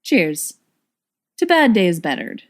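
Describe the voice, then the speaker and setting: A woman's voice: lowish voice, sing-song, slow pace. A woman voicing a friendly, chatty young man in a storybook reading, cheerful and coaxing. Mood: cheerful, easygoing.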